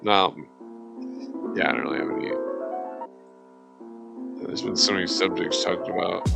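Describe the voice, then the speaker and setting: A man's voice: deep voice, fairly even intonation, moderate pace, creaky. A man hosting a livestream in a slangy, laid-back way, with casual rambling talk and freestyle rap. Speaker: livestream host. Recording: loud music under the voice. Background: loud music beats.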